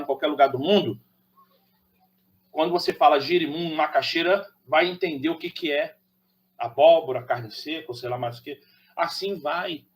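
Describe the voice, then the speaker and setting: Man, Carioca accent, slightly high voice, preachy, rhetorical questions. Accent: Carioca Brazilian Portuguese. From Rio de Janeiro, Brazil. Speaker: channel host. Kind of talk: opinionated monologue to camera.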